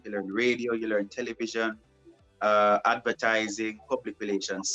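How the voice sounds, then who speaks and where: A man's voice: mid-range voice, a bit bright, highs cut off. A young man, a broadcast journalist, answering interview questions casually and often repeating and restarting his phrases. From Jamaica.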